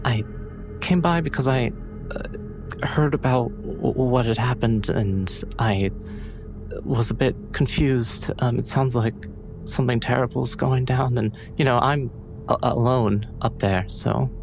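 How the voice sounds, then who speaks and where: A man's voice: medium pitch, vocal fry, over music. Man playing a nervous, stammering farm woman. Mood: nervous, worried. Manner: halting, stammering, repeats words. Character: anxious, sleepless farm woman living alone. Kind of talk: improvised in-character tabletop roleplay.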